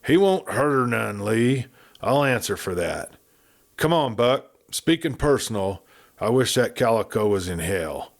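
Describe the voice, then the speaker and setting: A man voicing a gruff outlaw boss. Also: mid-range voice, animated, unhurried. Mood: commanding. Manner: gruff, commanding. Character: big commanding male outlaw leader.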